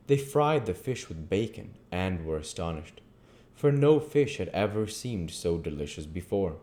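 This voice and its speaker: A man's voice: low-pitched, a little mellow. A man reading a classic novel aloud in the style of an amateur audiobook narrator.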